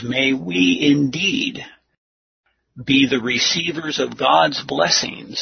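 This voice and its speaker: A man preaching and teaching a Bible lesson, speaking in deliberate, earnest short phrases with a husky, gravelly voice. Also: medium pitch, slight background noise. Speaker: preacher leading a church lesson. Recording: muffled lo-fi audio.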